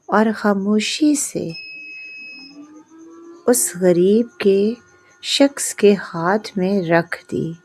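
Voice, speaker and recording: A woman narrating a scripted moral story in short, measured phrases with pauses. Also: medium pitch, animated, a little mellow.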